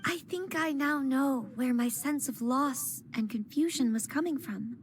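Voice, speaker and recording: A woman's voice: sits high, unhurried, slight background noise. An actress playing an earnest young noblewoman who speaks formally as she steps up to lead her clan, in video game dialogue.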